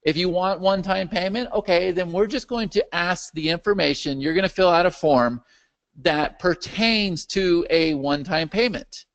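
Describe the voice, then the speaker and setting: A man hosting a live question-and-answer video call for his software's users. His voice is worn from a long day of talking, and he keeps checking that his listeners are following. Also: high-pitched, normal speed, highs cut off. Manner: animated, checks in with the audience often. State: losing his voice. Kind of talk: live webinar Q&A with screen share.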